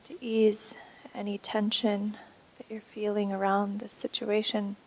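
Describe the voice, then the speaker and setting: A woman instructor leading a guided meditation in a soothing, unhurried way with pauses. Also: mid-range voice, monotone, poor audio.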